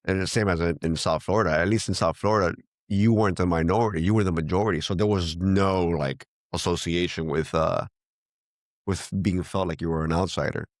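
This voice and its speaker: A man's voice: deep voice, fairly even intonation, a little fast, dry recording, clean studio sound. A man whose first language is Spanish, speaking English with a Venezuelan-tinged accent, telling stories in a rambling casual chat with lots of restarts and quoted dialogue. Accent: Venezuelan Spanish-tinged American English. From United States. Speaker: podcast guest. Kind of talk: casual conversation.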